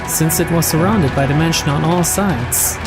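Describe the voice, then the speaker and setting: A man's voice: a little high, moderate pace, loud music behind the voice, poor audio. A man reading a mystery story aloud as narrator, in a scripted narration style.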